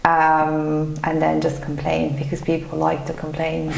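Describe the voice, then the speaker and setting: A woman's voice: sits low, completely flat delivery, a little slow, creaky, a little mellow. A woman from Bulgaria answering questions as an interview guest, in a thoughtful, hesitant conversational way. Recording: echoey, reverberant room sound.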